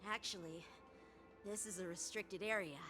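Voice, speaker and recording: A woman's voice: mid-range voice, steady tone, normal speed, pushed and bright, lightly acted. A woman playing an earnest, polite, disciplined twelve-year-old boy sword master in a video game. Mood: earnest, confident. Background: game music.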